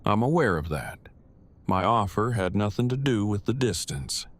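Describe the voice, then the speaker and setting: A man's voice: lowish voice, lively intonation, normal speed. An actor playing a shy, earnest man in love, speaking in stiff, wordy, formal phrases in an audio drama.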